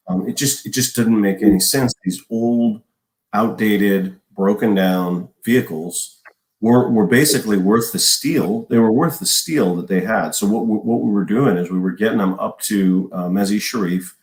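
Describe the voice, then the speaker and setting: A man in his forties, a former army logistics officer, explaining at length in a podcast interview with a measured manner and many restarts. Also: low-pitched, a little mellow, echoey room, poor audio. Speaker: guest, retired army logistics colonel.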